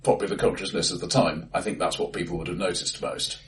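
A man co-hosting a casual film discussion in a dry, understated way with stammering restarts, recorded face to face in an acoustically bright room. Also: low voice, fairly even intonation, fast, far from the mic. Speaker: podcast co-host.

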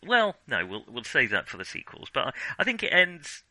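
A man's voice: mid-range voice, wildly swooping pitch, a little fast, pushed and bright, no echo, poor audio. A man co-hosting a casual film discussion, conversational and often correcting himself as he goes. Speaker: podcast co-host.

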